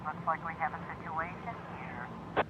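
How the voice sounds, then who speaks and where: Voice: mid-range voice, a little slow. An actor playing a police radio dispatcher, giving clipped call-outs through a radio filter. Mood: businesslike. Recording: muffled, very noisy radio transmission.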